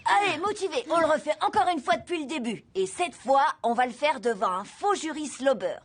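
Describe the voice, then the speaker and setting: A woman's voice: high-pitched, expressive, brisk. A woman voicing an enthusiastic, confident young schoolboy in a French cartoon, upbeat and persuasive as he leads his friends. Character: enthusiastic, scheming, confident schoolboy, cheerful band leader.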